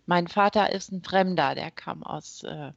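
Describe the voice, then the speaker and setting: A middle-aged woman from northern Germany, a judge and court president, answering interview questions in a measured way with frequent hesitations. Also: lowish voice, vocal fry, no echo, lo-fi sound. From East Frisia, Germany. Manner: measured, many hesitation sounds.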